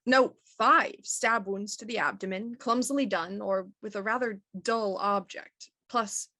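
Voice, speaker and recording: A woman playing a shrewd, commanding police inspector in a Victorian radio mystery, crisp and analytical. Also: medium pitch, fairly bright tone, dry recording, close to an everyday voice.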